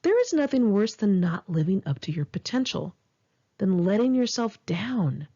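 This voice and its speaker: A woman reading her own memoir as scripted audiobook narration, in a frank, emphatic and expressive manner. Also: mid-range voice, moderate pace, breathy edge, lo-fi sound.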